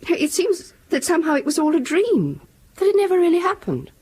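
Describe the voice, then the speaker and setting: An actress playing a tender, candid mother in a radio drama, gentle and earnest. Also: very high-pitched, wildly swooping pitch, brisk, full character voice.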